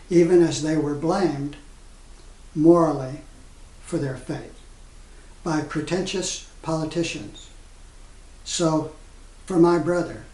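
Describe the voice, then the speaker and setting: A man solemnly reading poetry, measured and pausing phrase by phrase. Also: fairly high pitch, dark tone. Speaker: poet reading own work. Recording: echoey room, distant from microphone.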